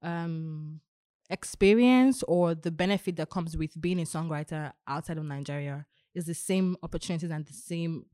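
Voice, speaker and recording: Woman, lowish voice, podcast host, probing questions, dry sound. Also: normal speed, mellow, no echo. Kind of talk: casual podcast chat.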